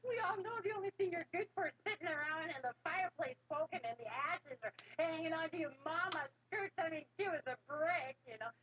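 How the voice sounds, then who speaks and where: A woman's voice: very high voice, animated, rapid-fire, few pauses, sharp tone, muffled recording, voice pushed up, exaggerated voice. A woman voicing lazy young brothers who whine about work and mock their youngest brother in a told folktale. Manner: whiny, mocking.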